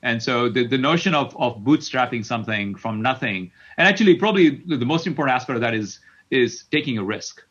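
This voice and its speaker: A man's voice: medium pitch, animated, a little fast, a bit bright, lo-fi sound. A middle-aged man from Kenya answering as an interview guest, repeating words as he thinks aloud. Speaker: tech company CEO, interview guest.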